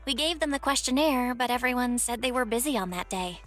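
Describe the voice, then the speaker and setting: A woman's voice: a little high, animated, fairly quick, a bit bright, soft background music. A woman playing a polite, helpful young woman in a video game. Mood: polite, apologetic. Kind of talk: video game character acting.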